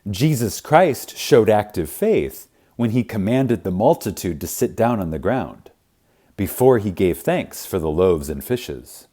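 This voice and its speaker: A man narrating a spiritual audiobook in a reverent, emphatic manner, with deliberate pauses. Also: on the low side, sing-song, mellow. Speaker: audiobook reader. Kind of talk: scripted narration of a spiritual self-help audiobook.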